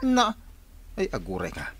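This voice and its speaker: A man's voice: mid-range voice, huge pitch swings, slow pace, a bit bright, slight background noise, heavily acted. A man acting a put-upon, timid house servant who mutters and exclaims, with an Ilocano accent in a radio drama. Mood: grumbling, scheming. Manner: muttering, exclamatory, talks to the cat.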